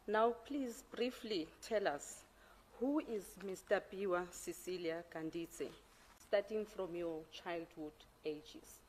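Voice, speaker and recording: A woman on an interview panel, asking formal questions in a deliberate way. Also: on the low side, darkish tone, slight background noise, low-quality recording.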